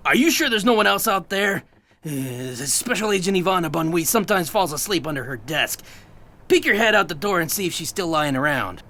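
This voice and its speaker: An actor playing an irritable, eccentric agency chief who blusters and rambles in a comic sci-fi audio play. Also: male voice, a little high, expressive, brisk, pushed and bright. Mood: distracted, grumbling.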